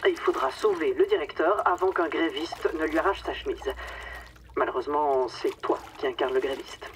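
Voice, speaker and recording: A woman's voice: low voice, normal speed, close to an everyday voice. An actress playing a falsely affectionate, condescending woman boss in a darkly comic radio drama, with a theatrical, mockingly sweet manner. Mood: mocking menace. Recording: distant microphone, clear watery background noise.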